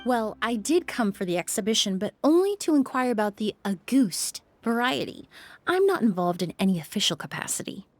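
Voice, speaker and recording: A woman voicing a composed young perfumer in a video game, speaking in a measured, explanatory way. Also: fairly high pitch, very animated, dry recording. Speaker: perfumer with medical knowledge. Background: faint game music.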